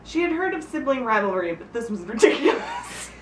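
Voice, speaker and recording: A woman's voice: high voice, big pitch swings, a little fast, a crowd in the background. A woman reading a humorous story aloud to friends in a giggly, informal way, stumbling and breaking off to comment. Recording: echoey room, distant mic, background noise.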